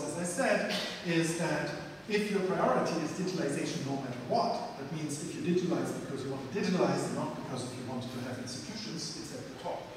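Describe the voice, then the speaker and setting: A man's voice: fairly high pitch, noisy background. A middle-aged man, a guest lecturer answering questions with blunt, emphatic opinions into a handheld microphone in a large lecture room. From Germany. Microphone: handheld mic. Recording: echoey hall from far off.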